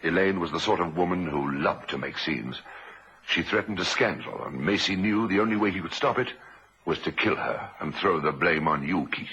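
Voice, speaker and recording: A man's voice: low-pitched, moderate pace, bright, forceful tone, slightly rough, heavily acted. An actor playing a composed doctor in an old-time radio drama, a man explaining things in a matter-of-fact way. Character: composed male doctor calmly revealing the killer. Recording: muffled vintage radio recording.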